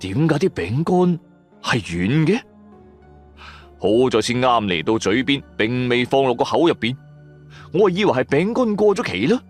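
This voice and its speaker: A male storyteller delivers first-person horror drama. His mid-pitched voice rises and falls dramatically in a colloquial, suspenseful style, over faint music. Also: breathy edge. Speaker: audio drama narrator. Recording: music under the voice. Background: background music.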